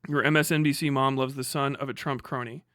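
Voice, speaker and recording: A man's voice: mid-range voice, steady tone, quick pace, a bit bright, dry recording, studio quality. An American man livestreaming a casual reaction, reading aloud and breaking off into incredulous, sweary asides. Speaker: livestream host.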